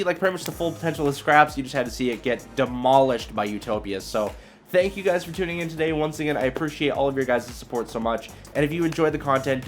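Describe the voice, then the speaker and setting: A man giving casual gameplay commentary, thinking aloud in a hesitant, muttering way as he reasons through his moves. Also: mid-range voice. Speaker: video creator and card game player.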